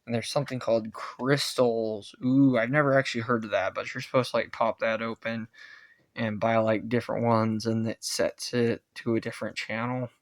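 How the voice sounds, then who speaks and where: A man giving a casual, rambling hobbyist gadget review, halting and often correcting himself as he goes. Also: mid-range voice, level tone. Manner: halting, self-correcting, unscripted.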